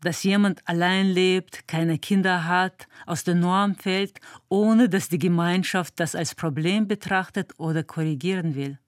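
A woman giving measured, thoughtful answers as an interviewee. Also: on the low side, steady tone, no room sound, studio quality. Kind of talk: calm reflective answers.

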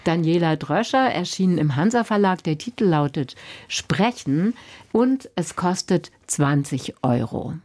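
Low-voiced woman critic, measured. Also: expressive, a little mellow. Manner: thoughtful, measured explaining. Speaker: book critic. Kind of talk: radio book discussion.

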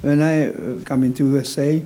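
An 88-year-old man with a Czech accent, talking casually in halting, broken English and repeating words as a musician being interviewed. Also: mid-range voice, steady tone, dark tone.

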